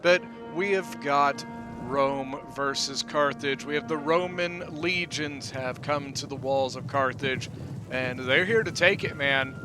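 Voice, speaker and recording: A man hosting his gaming channel, giving relaxed, chatty commentary on a battle replay, peppered with "you know" and "uh". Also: mid-range voice, slow, over music.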